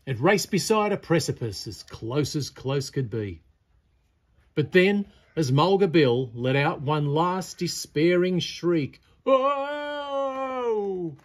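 A man's voice: on the high side, animated, takes it slowly. A man reading a picture-book poem aloud as a storyteller, in a theatrical, dramatic style. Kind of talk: expressive read-aloud poetry recitation. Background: birdsong.

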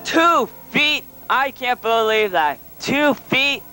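A man's voice: very high-pitched, lively intonation, slow, bright, forceful tone, faint music behind, full character voice. A man voicing a gossipy cartoon terrorist boss. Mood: gossiping. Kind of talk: fan parody redub of a cartoon.

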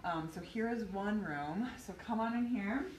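A woman's voice: mid-range voice, normal speed, darkish tone, recorded from a distance. Upbeat woman, thirties, live walking tour filmed on a phone, echoey rooms, heard from a few feet off. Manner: chatty, bubbly, walking while talking. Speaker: physical therapy clinic owner giving a tour. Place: small clinic indoors, hallways and treatment rooms. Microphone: phone camera held by another person. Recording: roomy phone audio from a few feet off, faint hum.

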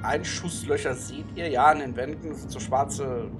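A man's voice: mid-range voice, normal speed. A man improvising narration as game master of a tabletop horror game. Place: online group call, indoors at home. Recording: music under the voice. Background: music.

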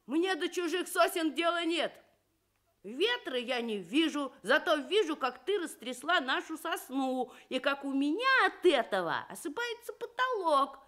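A woman's voice: high-pitched, very animated, a little slow, a bit bright, voice pushed up, full character voice. Stubborn storybook badger, played by a woman, indignant grumbler with swooping intonation. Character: grumpy, stubborn male badger.

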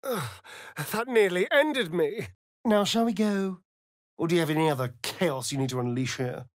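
An actor playing an arch, flirtatious, sardonic vampire rogue in a video game, with a theatrical drawl. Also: male voice, sits high, animated, moderate pace, no echo, studio quality. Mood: dry, dismissive. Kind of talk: video game character dialogue.

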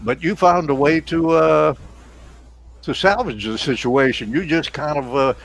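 A man's voice: on the high side, unhurried, creaky. An older American man hosting a casual chat, reminiscing in a rambling, chuckly way into a headset mic on a video call. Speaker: radio show host. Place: indoor home studio on a video call. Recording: noisy home video-call audio.

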